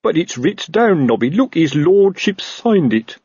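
A man's voice: fairly high pitch, sing-song, a little mellow, breathy edge, dry recording, highs cut off, pitched above the performer's own voice, heavily acted. Man as a miserable, moaning police sergeant. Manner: moaning, halting, rambling explanations. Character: anxious, miserable, long-suffering male police sergeant.

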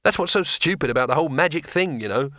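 A hapless male wizard, gloomy and self-pitying, who grumbles through every complaint in a raised, strained pitch, as a man reads him aloud. The voice is bright and sharp, recorded dry and close, in a storybook reading. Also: animated, fast, dry recording.

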